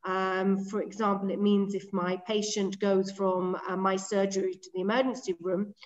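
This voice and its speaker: A middle-aged woman with an English accent presents a lecture online, speaking in a clear, organised way with frequent ums. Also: mid-range voice, level tone, moderate pace, dull audio. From England. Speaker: medical director giving a webinar lecture. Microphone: video call.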